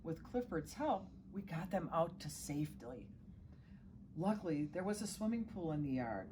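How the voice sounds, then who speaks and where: A woman's voice: low-pitched, sing-song, moderate pace, background noise. A middle-aged woman reading a children's storybook aloud as a guest reader, now and then stumbling and correcting herself.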